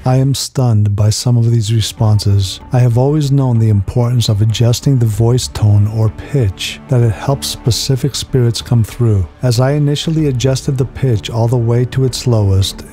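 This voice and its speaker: Middle-aged greek-native guy narrating a ghost app review, mellow low-key tone, soft music under it. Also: fairly even intonation, normal speed, hardly any pauses. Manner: earnest, awed and enthusiastic. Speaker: paranormal investigator.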